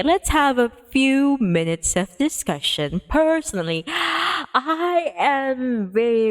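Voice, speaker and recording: A woman livestreamer chatting playfully, with a giggly, gushing, expressive way of talking. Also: on the high side.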